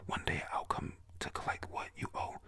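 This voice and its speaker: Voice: normal speed, pushed and bright, dry recording, close to an everyday voice. A young adult whispering the threatening lines of a domineering giant pirate woman in a cartoon. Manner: threatening, bossy.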